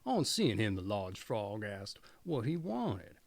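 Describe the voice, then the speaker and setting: A man's voice: on the low side, big pitch swings, slow, creaky, no room sound. A man reading a fairy tale aloud as a storyteller.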